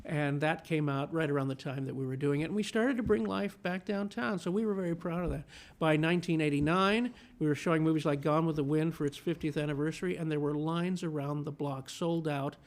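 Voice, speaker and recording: A man in his fifties, born in Chile, talks with an eager, storytelling enthusiasm. His voice sits a touch high, and he often repeats a word or two as he gets going. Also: fairly quick, few pauses. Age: 50s. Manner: enthusiastic storyteller, repeats words when starting. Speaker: film critic, guest. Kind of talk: casual interview conversation.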